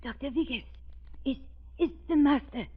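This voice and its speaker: A woman's voice: sits high, normal speed, natural voice. An actress playing an anxious, urgent servant woman in a 1930s radio chiller. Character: anxious servant woman in a spooky mountain house. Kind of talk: 1930s radio drama acting. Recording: muffled, tinny 1930s radio recording.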